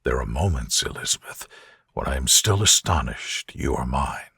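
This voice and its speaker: A man voicing an earnest, reserved gentleman in love with a low, tender, measured manner, in a bedtime storybook. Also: expressive, gravelly, breathy edge, no room sound, clean studio sound, exaggerated voice. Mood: quietly awed. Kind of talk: soothing bedtime storybook dialogue.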